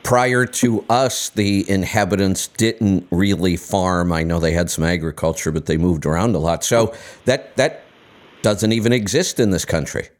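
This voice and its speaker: Low-voiced, curious American host. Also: male voice, normal speed. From United States. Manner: curious, easygoing, laughs often. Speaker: podcast host. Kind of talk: casual interview conversation.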